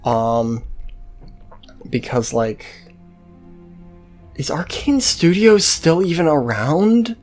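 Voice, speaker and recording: A let's-play host with a medium-pitched voice talks slowly and casually over faint game music. The delivery rambles and hesitates, with plenty of swearing mixed in. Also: very animated, darkish tone. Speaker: host of a gameplay series.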